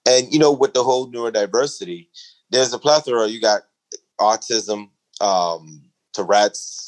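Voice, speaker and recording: A middle-aged man from the Bahamas with a Bahamian accent, a guest in a conversational interview, talking emphatically and climbing in pitch when he makes a point. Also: moderate pace, poor audio. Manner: emphatic, rising to a preaching lilt when making a point.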